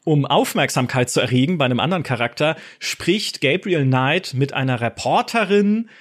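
A man from Baden in Germany, with a Baden German accent, chats on a podcast. His voice sits a little high and has a husky edge. He talks animatedly and breaks into laughter as he retells scenes. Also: normal speed. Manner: animated, laughs often, acts out game lines. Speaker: podcast co-host. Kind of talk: casual podcast chat.

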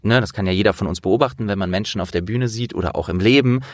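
A man's voice: fairly low pitch, quick pace, dry recording, studio quality. A man hosting a casual interview, talking informally and explaining things as he thinks aloud. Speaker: interviewer and host.

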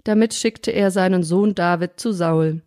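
A woman hosting a podcast on sermon ideas, chatting in a friendly way and reading a scripture passage aloud in a measured voice. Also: lowish voice, darkish tone, no room sound, clean studio sound. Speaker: podcast host. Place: studio or quiet room, sitting across from the guest.

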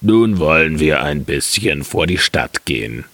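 A man voicing a sleepy, easygoing dog with a gravelly voice in a fairy tale. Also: a bass voice, expressive, a little slow, fairly bright tone, no echo, voice pushed down, heavily acted. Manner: sluggish, weary. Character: sleepy, easygoing male dog, companion to a sparrow.

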